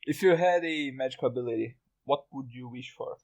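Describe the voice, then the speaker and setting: A man hosting a group podcast, casually putting questions to his guests and halting as he searches for words. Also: medium pitch, darkish tone. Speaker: podcast host, interviewer.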